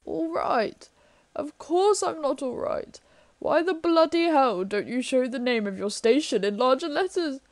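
Woman playing a flustered, high-voiced young gentleman. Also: expressive, a little slow, no room sound. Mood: indignant. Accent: British. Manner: stammering, apologetic. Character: flustered, polite gentleman train passenger in his thirties. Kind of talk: 1930s-set radio play acting.

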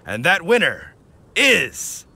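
A man voicing a hearty, solemn village hunter leader in an anime video game, with a formal, measured, declamatory delivery. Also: high-pitched, wildly swooping pitch, gravelly, bright, forceful tone, husky, no echo, full character voice. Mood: grand, announcing.

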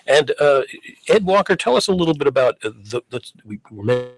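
A man's voice: on the high side, very animated, brisk, faint music behind, poor audio. A middle-aged man hosting a radio talk show, inquisitively asking his guests questions with frequent fillers. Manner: inquisitive, many fillers while framing questions. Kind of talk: interviewing guests. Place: radio studio. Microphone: studio condenser mic.

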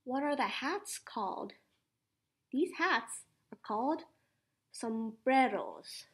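High, animated woman reading to children. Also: slow pace. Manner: animated, instructive, addressing children with questions. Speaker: preschool teacher reading aloud. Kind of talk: children's picture-book read-aloud with sing-song refrains. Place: small indoor classroom.

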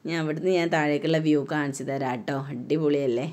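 A low-voiced woman from Kerala narrates a shopping trip in easy, chatty Malayalam-accented speech. She films herself strolling a big indoor mall on her phone, picking up the open sound of a busy store. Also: steady tone, fast talker. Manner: chatty, tags sentences with a soft "tto". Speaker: vlogger, mother touring a mall with her daughter. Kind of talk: casual walk-around vlog narration. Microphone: phone camera held while walking.

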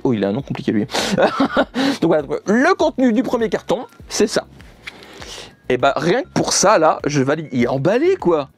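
Animated young man chatting casually. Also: on the high side, dry recording. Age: young adult. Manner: repeats himself, enthusiastic. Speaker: YouTuber presenting a manga unboxing. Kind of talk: casual unscripted vlog chat. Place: indoor room with manga shelves.

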